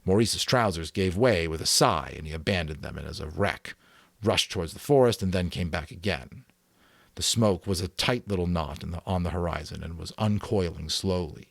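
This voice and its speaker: A man giving a dramatic audiobook reading, narrating in an expressive storytelling manner. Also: low-pitched, normal speed, creaky, no room sound.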